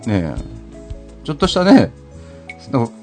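A man's voice: medium pitch, wildly swooping pitch, normal speed, a little mellow, soft background music. A man hosting a casual comedic radio chat, teasing and self-deprecating, sometimes slipping into campy feminine phrasing. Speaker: radio show host.

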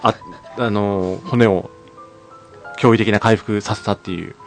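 A man explaining trivia as the bar master in a comic podcast skit, polite, apologetic and halting. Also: on the low side, steady tone. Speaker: podcast cast member playing the bar master. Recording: faint music behind the voice. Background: faint music.

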